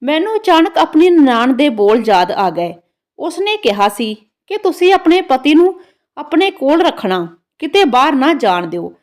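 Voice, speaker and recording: A woman's voice: high voice, very animated, a little slow, a little mellow. A woman narrating a scripted first-person story in a dramatic storytelling manner.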